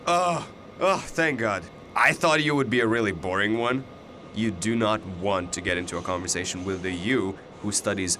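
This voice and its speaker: A man's voice: fairly low pitch, pushed and bright, a little hiss or hum. A man playing a cocky, chatty young double who knows it all, with a glib, teasing way of talking in an audio drama. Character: cocky, chatty, all-knowing alternate-universe male double of a student.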